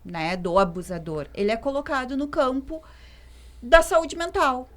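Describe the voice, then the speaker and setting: A woman's voice: high voice, unhurried. A woman psychologist, a guest on a podcast, talking things through with many fillers. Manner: thoughtful, many fillers like "né" and "assim". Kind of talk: podcast discussion.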